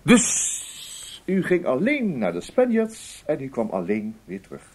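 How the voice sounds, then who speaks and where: An actor playing a courteous, quietly sly government investigator in a radio play, with a husky voice and a hesitant, musing way of questioning. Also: male voice, fairly high pitch, wildly swooping pitch, unhurried, heavily acted. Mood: probing. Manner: hesitant, polite, musing, repeats himself. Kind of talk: Dutch radio drama acting.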